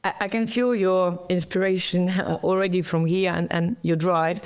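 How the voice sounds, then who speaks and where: Smooth, flat-toned woman host. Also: lowish voice, normal speed. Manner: hesitant, non-native phrasing. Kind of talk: interview host.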